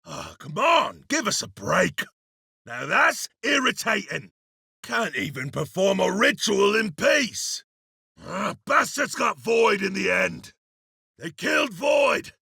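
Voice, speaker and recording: An actor playing a gruff, hard-bitten demon hunter and vengeful soldier, terse and plain-spoken, with gravelly video game delivery. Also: male voice, high voice, big pitch swings, takes it slowly, pushed and bright, dry recording, shouting, clean studio sound, exaggerated voice. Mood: irritated. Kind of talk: video game voice lines, scripted.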